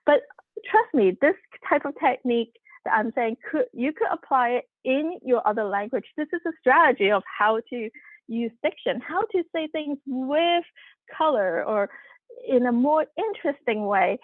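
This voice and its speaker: A woman's voice: high voice, lively intonation, normal speed, no room sound. A woman whose first language is Cantonese, giving an online workshop in a conversational way with many fillers and restarts, speaking over a video call. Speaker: workshop presenter. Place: home room, video call. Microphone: computer mic on video call. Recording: tinny phone-like audio.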